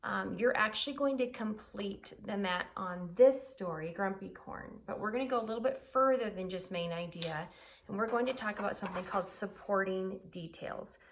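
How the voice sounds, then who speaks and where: A woman's voice: mid-range voice, normal speed, a little hiss or hum. A woman teacher explaining things simply to young pupils and reading aloud, going back over key points.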